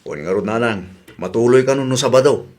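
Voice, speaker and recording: A man voicing a grown son who calmly helps his mother in a radio drama. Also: mid-range voice, fast talker, natural voice. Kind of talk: Ilocano radio drama acting.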